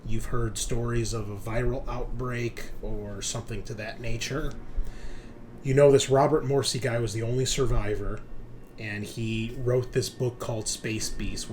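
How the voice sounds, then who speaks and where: A man running a tabletop roleplaying game as game master, improvising his narration and thinking aloud in a halting way. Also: lowish voice. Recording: clear background noise.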